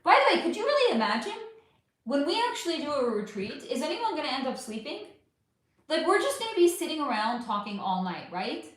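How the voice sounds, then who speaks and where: A woman's voice: high voice, sing-song, brisk. A woman hosting a casual livestream chat, profane and affectionate, losing her voice. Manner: chatty, profane, affectionate with viewers. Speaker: livestream host. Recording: very echoey room.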